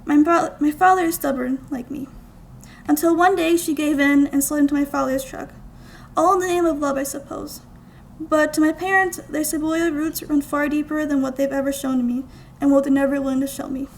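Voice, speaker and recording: A young woman from the United States reading her own writing aloud at a live event indoors, with deliberate, expressive phrasing. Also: high voice, creaky, a little hiss or hum. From United States, small-town Minnesota. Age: young adult. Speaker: student writer reading own work. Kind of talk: scripted literary reading aloud. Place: college art gallery, indoors.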